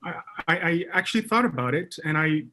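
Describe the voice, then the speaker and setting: A man's voice: fairly high pitch, lively intonation, fairly quick, sharp tone, a little hiss or hum. Hesitant Moroccan-raised man, guest. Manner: hesitant, many restarts and fillers. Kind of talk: casual panel chat.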